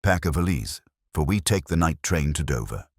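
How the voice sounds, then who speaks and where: A man's voice: a bass voice, lively intonation, moderate pace, slightly rough, dry recording, studio quality, full character voice. A man voicing a keen, coolly confident detective with eloquent, pompous phrasing in a storybook reading. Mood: wry confidence.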